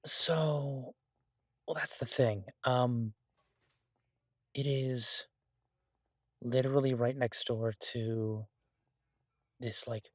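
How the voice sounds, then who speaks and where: Man acting a laid-back, unhurried chef, dry close sound. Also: mid-range voice, steady tone, fairly bright tone, no echo. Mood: quietly enthusiastic. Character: laid-back, idealistic male chef, devoted to honest food. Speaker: chef and restaurant owner character in an audio roleplay. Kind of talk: intimate conversational audio roleplay.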